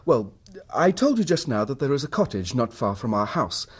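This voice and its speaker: A man's voice: mid-range voice, sing-song, moderate pace, breathy edge. An actor playing an earnest, troubled middle-class English husband telling his story in formal period language for a radio drama. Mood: earnest, reflective.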